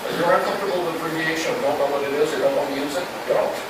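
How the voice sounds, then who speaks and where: A man's voice: fairly high pitch, racing. A man giving an informal, reassuring, rambling instructional talk to a club group. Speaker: presenter at an amateur radio club. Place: indoor meeting room with audience. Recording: very echoey, noisy room, distant mic.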